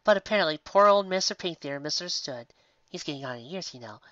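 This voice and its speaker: A woman voicing a gentle, motherly mare who runs a sweets shop, with a sweet, doting manner. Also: sits low, lively intonation, quick pace, no room sound. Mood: chatty. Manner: sweet, doting, calls everyone dear. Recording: slightly muffled recording.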